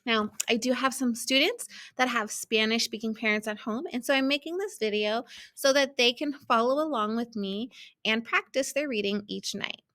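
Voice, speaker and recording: A woman's voice: on the high side, normal speed, a bit bright. A woman schoolteacher introducing a read-aloud video for her students and explaining how to practice.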